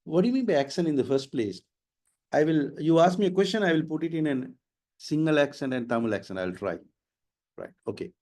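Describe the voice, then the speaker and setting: A man's voice: on the high side, lively intonation, fairly quick, darkish tone. A middle-aged man with a slight Sri Lankan accent, giving an explanatory IELTS webinar lecture and dropping in Sinhala phrases. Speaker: IELTS trainer.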